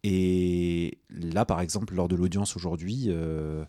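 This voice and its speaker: A man from Tunisia, speaking as a guest on a radio talk show, often pausing and restarting his sentences. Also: sits low, fairly even intonation, mellow, no echo, studio quality. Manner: hesitant, many restarts and fillers. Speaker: guest activist. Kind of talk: radio discussion.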